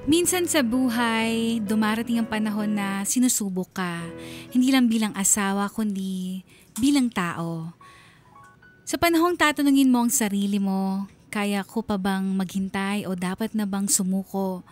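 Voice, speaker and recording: A woman's voice: mid-range voice, soft background music, natural voice. Woman acting solemn, steadfast Filipina wife narrating. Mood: solemn, reflective. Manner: solemn, heartfelt, deliberate. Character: reflective, steadfast wife narrating her letter of long waiting. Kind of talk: scripted radio drama monologue.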